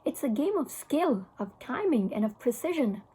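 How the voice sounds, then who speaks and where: A woman voicing an earnest, squeamish father in a children's storybook, measured and correcting. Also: sits high, very animated, mellow, breathy edge, heavily acted. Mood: reproving.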